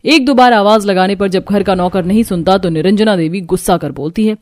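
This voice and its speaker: A woman's voice: medium pitch, brisk, no room sound. A woman reading a scripted story as the narrator of a cartoon.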